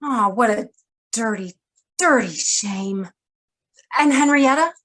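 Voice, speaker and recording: A shrewd woman of secrets from a hard-boiled radio drama played by an actress. Her voice is a little high. She delivers her lines in a measured, dramatic, knowing manner. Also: animated, fairly bright tone, exaggerated voice. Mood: sorrowful, concerned. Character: shrewd, wry woman publisher hiding a killer's past. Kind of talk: noir radio drama acting.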